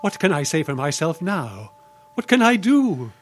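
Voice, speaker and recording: A man's voice: fairly high pitch, big pitch swings, moderate pace, background noise. A man playing a weak, genteel middle-aged father in an old-time radio mystery, with a pleading, melodramatic delivery. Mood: despairing.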